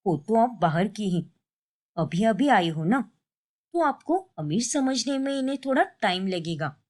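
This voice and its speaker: A woman's voice: mid-range voice, darkish tone, lightly acted. A woman voicing an aloof young sister-in-law in a cartoon story, coolly polite and dismissive.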